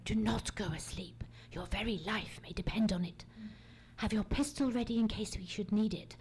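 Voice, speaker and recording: A woman's voice: mid-range voice, moderate pace, darkish tone, lightly acted. A woman playing a keen, commanding male detective in a live old-time radio mystery, speaking precisely and theatrically. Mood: tense, secretive. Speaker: radio play actor. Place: theatre stage. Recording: noisy live theatre stage recording.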